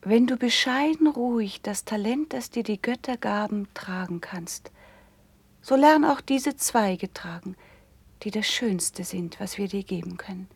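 A noble, gentle princess in a classical radio play. Her voice is soft and breathy, often close to a whisper, in a dry recording. She speaks verse with tender, measured restraint. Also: medium pitch, expressive, no room sound. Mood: gently admonishing. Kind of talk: classical verse drama declamation.

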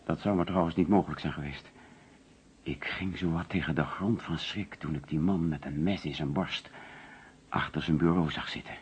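An actor playing an agitated middle-aged businessman under police questioning in a radio drama, defensive and hesitant, with a husky voice on an old recording. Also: rumbling low, normal speed, slight background noise.

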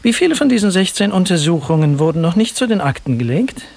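An actor playing a sceptical, blunt police lieutenant in a German radio drama, with a brusque, sardonic, commanding manner. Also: male voice, high-pitched, sing-song, quick pace, creaky, darkish tone, full character voice. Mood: sceptical, stern.

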